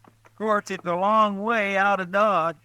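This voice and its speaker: An actor playing an earnest, folksy young deputy with a hesitant, twangy way of talking in an old radio drama. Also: male voice, high voice, fairly even intonation, measured pace, bright, forceful tone, clear, resonant tone. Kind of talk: 1950s radio drama acting. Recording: old radio broadcast recording.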